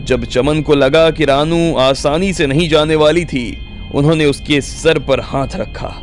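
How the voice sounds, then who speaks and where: A man's voice: a little high, fairly even intonation, a little slow. A man narrating a scripted audio drama story as its storyteller. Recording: music bed under the voice.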